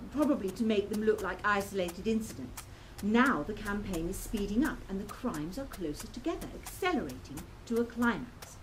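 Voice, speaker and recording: A woman's voice: medium pitch, a little mellow, a little hiss or hum, close to an everyday voice, rough recording. A woman playing a clever, earnest young lady researcher in a steampunk radio play, speaking precisely. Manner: precise, articulate, explanatory.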